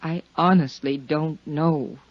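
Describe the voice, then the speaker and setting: A woman's voice: sits low, fairly even intonation, slow, dry recording. A woman playing an anxious, guarded, weary wife in 1950s radio drama, speaking with strained hesitation. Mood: evasive. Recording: muffled 1950s radio recording.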